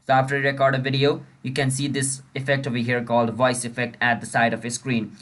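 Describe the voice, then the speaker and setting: A man's voice: mid-range voice, monotone, quick pace, a bit bright. A man narrating a screen-recording tutorial in a chatty, informal way, speaking directly to viewers as the channel's host.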